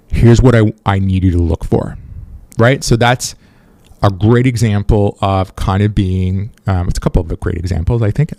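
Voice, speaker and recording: A man whose first language is French talks through his podcast in a relaxed, mellow, lowish voice. He speaks conversationally, often restarting a phrase and tagging "right?" onto his points. The sound is dry and close, with no room around him. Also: no echo. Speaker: podcast host. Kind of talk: solo podcast talk on leadership.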